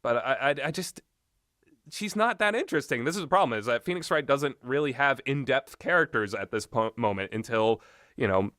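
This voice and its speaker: A man giving rambling, opinionated game commentary in a blunt, exasperated, sweary and halting manner. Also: medium pitch, fairly bright tone, no echo, studio quality. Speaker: video game reviewer.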